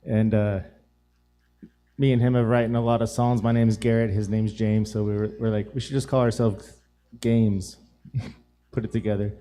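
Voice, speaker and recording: A man chatting as a guest musician on a live show, his voice raspy and hoarse, relaxed and full of fillers. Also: fairly low pitch, moderate pace, pauses often. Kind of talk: casual chat on a live show.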